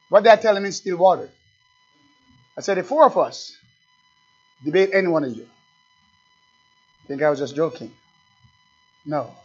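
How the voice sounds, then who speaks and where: A high-pitched man preaches from the pulpit, dropping to low asides and then surging loud. He slows to lean hard on scripture and keeps firing questions at his listeners. The recording is dull and lo-fi. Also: breathy edge. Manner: reads scripture slowly and with emphasis; talks straight to the congregation with questions. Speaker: pastor. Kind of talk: fiery sermon.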